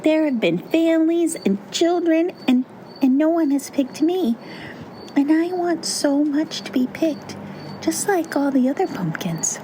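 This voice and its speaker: A woman voicing a small young male pumpkin in a storybook, wistful, excitable and pleading, eager to be picked. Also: high-pitched, unhurried, slight background noise, voice pushed up, poor audio. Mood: hopeful, pleading. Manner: exclaiming, pleading, hesitant.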